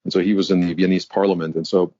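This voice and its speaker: A man's voice: deep voice, level tone, fast, warm tone, dry recording. A man in his fifties from Germany, speaking, who tells his story as a guest executive coach in a reflective, articulate way. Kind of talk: interview conversation. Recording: dull, dry sound.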